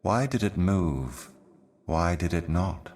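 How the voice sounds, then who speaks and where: A man voicing an ancient, weary otherworldly envoy in a video game, delivering cryptic poetic monologues in a grave, brooding, measured manner. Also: low-pitched, animated, a little mellow. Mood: solemn, cryptic. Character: ancient solemn otherworldly envoy, weary eternal servant of cosmic darkness.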